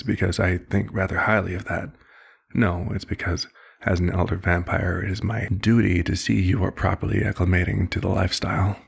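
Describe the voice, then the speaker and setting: Man playing a deep, gravelly, courteous elder vampire. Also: full character voice. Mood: patient, reassuring. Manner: formal, measured, polite wording. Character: elder male vampire doctor, courteous, concerned, gently authoritative mentor. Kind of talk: ASMR roleplay, scripted one-sided dialogue.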